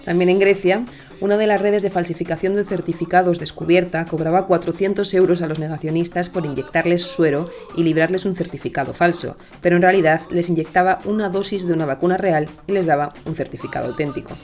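A woman's voice: lowish voice, steady tone, fast, darkish tone. A woman from reading scripted news stories as a radio presenter. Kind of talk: scripted news-style narration. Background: music bed.

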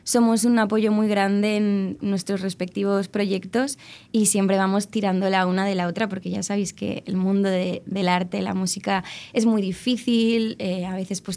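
A woman's voice: mid-range voice, darkish tone. A young woman from Alicante, a musician being interviewed, talking in a chatty, rambling way with many fillers and restarts. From Spain, Alicante. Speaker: musician guest. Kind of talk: casual interview chat.

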